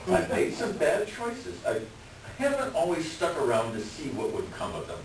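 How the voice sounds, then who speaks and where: A man acting a glib, evasive con man posing as a doctor in a stage reading, halting as he smooth-talks. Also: on the high side, very animated. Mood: sheepish. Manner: hesitant, halting, smooth-talking. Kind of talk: staged readers' theater acting. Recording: echoey room, distant mic, faint noise.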